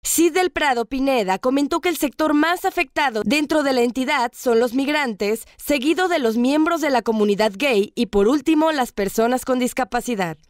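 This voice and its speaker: A woman reporter reading a scripted news voice-over in a measured, formal way. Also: high voice, no room sound, studio quality.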